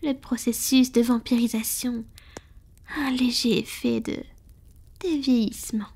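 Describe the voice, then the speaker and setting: Woman doing a centuries-old lady vampire in love, soft breathy whispering, kinda high voice, asmr roleplay. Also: steady tone, heavily acted. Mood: wistful, confiding. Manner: slow, intimate, affectionate murmuring. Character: centuries-old female vampire, tender lover, proud but vulnerable. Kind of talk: French-language ASMR romantic roleplay.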